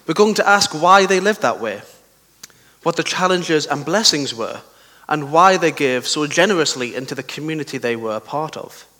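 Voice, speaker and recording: A young man with a sore throat and a slightly croaky voice, giving a conversational sermon with personal stories and questions to his listeners. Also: on the high side, expressive, normal speed, bright, forceful tone. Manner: conversational, self-deprecating, asks the listeners questions. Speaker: preacher. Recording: long hall reverb.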